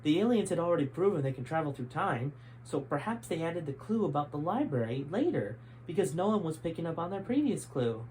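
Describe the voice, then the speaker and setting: A man's voice: fairly high pitch, very animated, brisk, a little mellow, a little hiss or hum, rough recording. A man reading aloud from his own book in a friendly, conversational way. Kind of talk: storytime reading.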